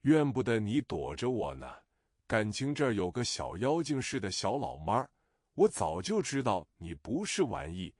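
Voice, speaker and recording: A man's voice: on the low side, big pitch swings, normal speed, darkish tone, no room sound, studio quality. A man reading a novel aloud as narrator, expressively voicing the characters' lines. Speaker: audiobook narrator.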